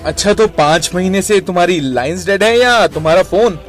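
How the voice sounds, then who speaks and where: Man as a stern, slightly high-voiced investigator. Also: soft background music, lightly acted. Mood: probing, stern. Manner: measured, authoritative questioning. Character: stern, composed male lead investigator. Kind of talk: Hindi radio crime drama acting.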